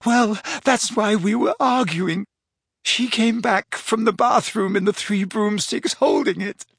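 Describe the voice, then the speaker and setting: Storybook teen girl in tears, high and trembling, read by a man. Dry, close sound. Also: gravelly, fairly bright tone, breathy edge, no room sound, full character voice. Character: sobbing frightened teenage schoolgirl.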